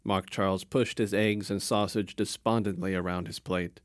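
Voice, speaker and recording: A man narrating a story in a calm, measured storytelling manner. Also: sits low, no room sound, studio quality.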